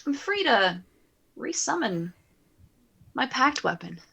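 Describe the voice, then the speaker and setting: Unhurried, playful woman gaming. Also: high voice, very animated, creaky, bright, forceful tone, husky. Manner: playful, jokey. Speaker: player. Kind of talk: casual tabletop game play.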